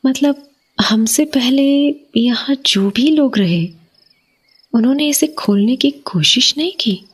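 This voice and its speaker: A woman playing an uneasy, suspicious young wife in a horror audio drama, hesitant and hushed, lingering on words in a breathy voice. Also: high voice, slow, heavily acted. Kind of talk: Hindi horror audio drama, acted dialogue.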